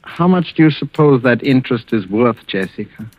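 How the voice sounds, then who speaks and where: An actor playing a smooth, scheming husband who speaks with coaxing, patronizing control, in 1940s radio style. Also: male voice, medium pitch, animated, normal speed, dry recording, close to an everyday voice. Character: smooth, scheming husband with quiet control over his wife. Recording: muffled, dull old radio recording.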